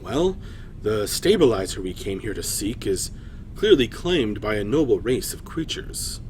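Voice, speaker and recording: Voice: mid-range voice, very animated, a little slow, darkish tone, a little hiss or hum. A man playing a noble warrior king in a fantasy story, speaking formally with gracious command. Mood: grateful, formal. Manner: formal, declarative.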